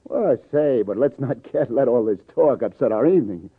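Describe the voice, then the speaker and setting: Hard-boiled New detective on tinny old radio. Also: male voice, medium pitch, lively intonation, moderate pace, husky, dry recording. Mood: sardonic, accusing. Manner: sardonic, clipped tough-guy talk. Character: hard-boiled, wry New York male police detective. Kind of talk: 1950s radio crime drama acting. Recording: tinny old radio broadcast, faint music.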